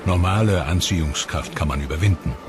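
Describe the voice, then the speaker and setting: A man giving measured, scripted documentary narration in an explanatory tone. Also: deep voice. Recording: voice-over with music bed. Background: clear music.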